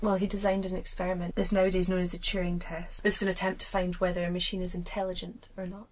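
Woman, slightly low voice, flat and even, calm podcast co-host. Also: fast, a little hiss or hum, low-quality recording. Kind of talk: casual podcast chat.

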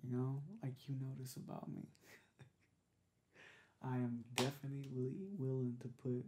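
A young man doing an intimate ASMR roleplay, talking softly and casually in a halting, laid-back way, with a breathy voice. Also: mid-range voice, completely flat delivery, noisy background. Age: young adult. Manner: hesitant, halting, laid-back pillow talk. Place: small bedroom, lying on a bed.

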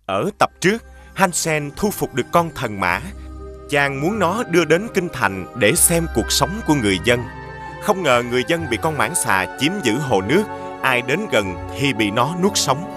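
An actor narrating an animated fairy tale as an expressive storyteller. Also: male voice, mid-range voice, normal speed, over music, close to an everyday voice.

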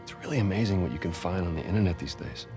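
An actor playing a scrappy middle-aged American treasure hunter in a video game, talking in a casual, halting, persuasive way. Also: male voice, low-pitched, music in the background, close to an everyday voice. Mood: sly, coaxing. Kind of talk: naturalistic video game acting.

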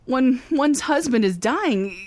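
Casting: actress as a wisecracking lady detective narrating, brisk and wry, dry close sound. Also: sits high, expressive, darkish tone, dry recording. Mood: wry narration. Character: wry, confident female private detective Candy. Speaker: narrator and lead. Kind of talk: first-person radio drama narration.

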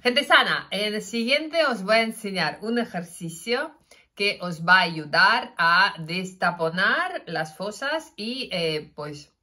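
A middle-aged woman with a Russian accent, talking casually to her phone camera about health, gesturing and pausing with fillers. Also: medium pitch. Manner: animated, gesturing, many fillers and restarts. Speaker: health vlogger. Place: indoors, plain room with curtain backdrop. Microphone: phone camera, front-facing.

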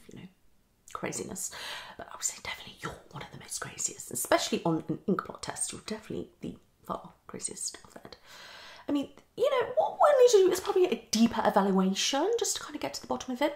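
A woman's voice: sits high, voice pushed up, heavily acted. A young woman playing a hasty, tactless female psychologist in an ASMR roleplay, half whispering in a condescending, hesitant way. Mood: wary, judging. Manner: fast switching, hesitant repetitions, condescending. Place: small living room, indoors.

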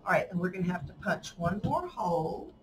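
A woman's voice: on the low side, big pitch swings, fairly quick. A woman with a Southern US accent demonstrating a paper craft at a table, talking in a folksy, chatty way and laughing often. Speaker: craft tutorial host. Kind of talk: casual hands-on craft demonstration. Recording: slightly distant mic, faint room noise.

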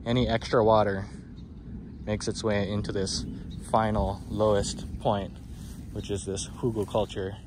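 A man giving a relaxed walking tour of his garden outdoors, with lots of "um, you know," filmed on a handheld phone. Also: on the low side, background noise. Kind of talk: casual walking tour explanation. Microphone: phone camera held by the speaker.

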